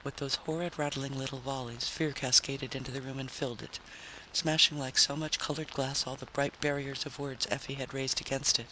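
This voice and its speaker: A man's voice: mid-range voice, level tone, brisk, bright, forceful tone, poor audio. A man narrating a story in a dramatic, scripted style. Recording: faint background noise.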